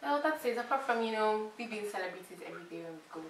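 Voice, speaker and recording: A woman from Nigeria tells a lively, animated story in a relaxed group chat. She sounds distant from the mic, in a room with plenty of echo and faint ambience. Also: mid-range voice, fast talker, slight background noise. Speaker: medical student. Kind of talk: casual storytelling. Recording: echoey room, far from mic.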